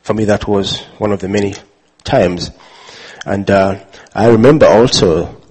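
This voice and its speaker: A man from Uganda telling a personal story with many pauses, fillers and restarts. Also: lowish voice, darkish tone, slightly rough, rough recording. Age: adult father. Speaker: speaker sharing his testimony. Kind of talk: personal testimony talk.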